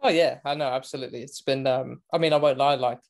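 British man, twenties, chatty, self-deprecating, laughs easily, casual podcast guest, mid-pitched voice. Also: steady tone, fast talker, gravelly. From England. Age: 20s. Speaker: guest, motorcycle racing commentator. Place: home, remote call.

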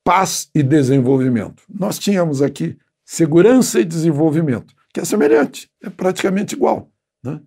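A man's voice: on the high side, expressive, moderate pace, warm tone, husky. An older man giving seated political commentary in a studio on a lapel mic, talking conversationally with frequent hesitations and tag questions. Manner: seated, gesturing with both hands. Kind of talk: unscripted spoken commentary. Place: small studio with graphic backdrop.

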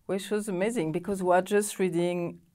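A woman's voice: on the low side, fairly even intonation, a little fast, darkish tone. Eager French-native woman host. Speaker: interview host. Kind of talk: studio interview. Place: TV studio. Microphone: lapel mic.

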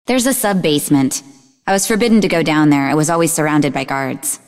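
An actress voicing a sarcastic, sharp-tongued, bookish young half-demon woman in scripted video game dialogue, with a dry delivery. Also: low-pitched, brisk, in a large hall, soft background music. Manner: dry, sardonic.

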